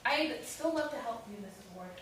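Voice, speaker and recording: A woman's voice: fairly high pitch, expressive, fairly quick, crowd noise, lightly acted. An actress playing a polite, career-minded young woman visiting her boyfriend's family in a staged play reading, hesitant and earnest. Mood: polite, apologetic. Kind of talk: staged reading, naturalistic dialogue. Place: indoor staged reading, recorded from afar. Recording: echoey room, distant mic, clear noise.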